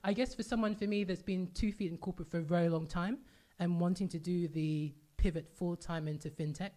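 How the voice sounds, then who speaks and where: Woman host, deep voice, brisk pace, enthusiastic and chatty. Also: steady tone, warm tone. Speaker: podcast host. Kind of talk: casual podcast conversation.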